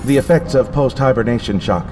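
A man voicing a coolly dutiful spaceship computer in an audio drama, formal, flat and literal. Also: medium pitch, a little fast, over music, lightly acted. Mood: impassive.

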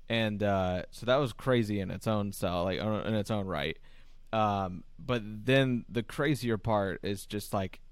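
A man co-hosting a sports podcast, chatting in a relaxed way with lots of "you know" and "uh". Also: fairly low pitch, dry recording. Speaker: podcast co-host. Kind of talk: casual podcast chat.

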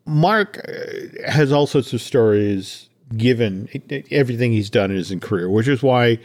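A man's voice: mid-range voice, lively intonation, normal speed, darkish tone. A man hosting a podcast, talking in a casual, chatty way about entertainment news, often repeating words for emphasis. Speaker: podcast host.

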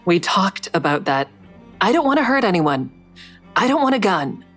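A woman's voice: mid-range voice, sing-song, moderate pace, fairly bright tone. An actress playing a guarded, defiant woman in an audio drama, narrating and speaking in a terse, dry, confiding way. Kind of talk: first-person audio drama narration and dialogue. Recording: faint music under the voice.

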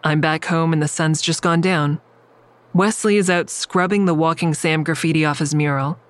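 An actress playing an observant, wry, secretive woman who narrates her own story in an audio drama, measured and descriptive. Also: deep voice, fairly even intonation, no echo, close to an everyday voice. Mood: wry, uneasy. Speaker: narrator and lead character.

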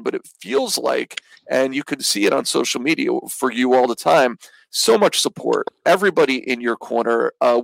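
A man's voice: medium pitch, gravelly, no room sound, rough recording. A man hosting an interview show, asking long, upbeat questions with restarts. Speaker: podcast host.

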